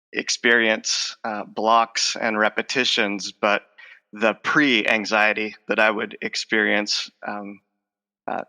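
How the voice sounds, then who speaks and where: Middle-aged man who stutters, bright voice. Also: lowish voice, vocal fry. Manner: stutters with word repetitions; reflective, many fillers. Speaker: interview guest. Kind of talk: conversational interview.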